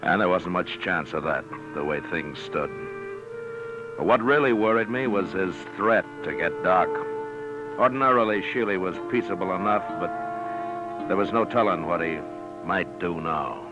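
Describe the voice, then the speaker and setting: A man plays a calm frontier marshal in an old American radio western. His voice is very deep and his delivery measured and dry. The recording is muffled, with music behind it. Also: natural voice. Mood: grave, reflective. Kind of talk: 1950s radio western acting and narration.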